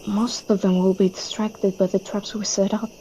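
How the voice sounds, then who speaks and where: A woman's voice: medium pitch, level tone, normal speed, warm tone, a little hiss or hum, close to an everyday voice, rough recording. A woman playing an anxious, driven young female leader in a webtoon fan dub, speaking with earnest, emphatic intensity. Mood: tense, subdued.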